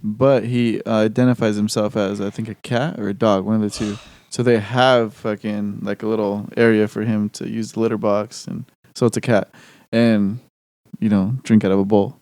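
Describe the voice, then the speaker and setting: A man's voice: on the low side, flat delivery, moderate pace, a little mellow, no echo. Male podcast co-host with a mid-range voice, easygoing and cursing, dry studio sound. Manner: laughs often, loose and profane. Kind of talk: casual chat.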